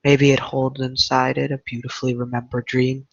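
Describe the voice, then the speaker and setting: A tender, earnest boy recites a poem , acted by a man. The delivery is flat and even at a middle pitch, on a dry, dull recording. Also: normal speed, vocal fry, no echo. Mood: tender, solemn.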